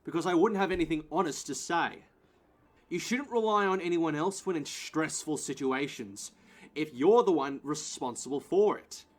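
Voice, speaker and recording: A man's voice: fairly high pitch, normal speed, lightly acted. A man playing a blunt, firm grey-haired security guard and mentor in an audio play.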